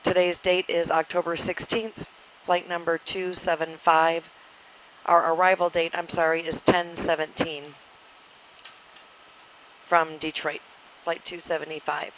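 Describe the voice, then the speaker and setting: A woman's voice: fairly low pitch, flat delivery, unhurried, pauses often, a bit bright. A woman flight attendant giving an inflight announcement over the cabin PA of an airliner. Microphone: cabin PA handset. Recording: noisy airplane cabin PA.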